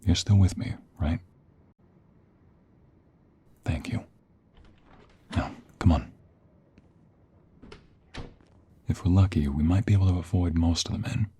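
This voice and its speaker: A man playing a tender, protective mobster fiancé in an ASMR roleplay, hushed and intimate, reassuring the listener in a breathy murmur. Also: deep voice, steady tone, moderate pace, pauses often, a little hiss or hum, close to an everyday voice. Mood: guarded, urgent. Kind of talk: ASMR roleplay audio drama.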